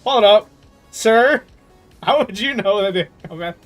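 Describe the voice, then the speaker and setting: A man livestreaming a game and chatting casually with viewers in a jokey, animated storytelling manner. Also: high voice, a little slow. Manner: animated storytelling, jokey, swearing, laughs often. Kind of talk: casual chat with viewers while gaming. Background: game music.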